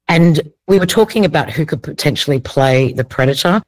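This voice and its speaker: A woman's voice: sits low, expressive, fairly quick, darkish tone, no echo, poor audio. A woman with an Australian accent, talking conversationally as a casting director being interviewed.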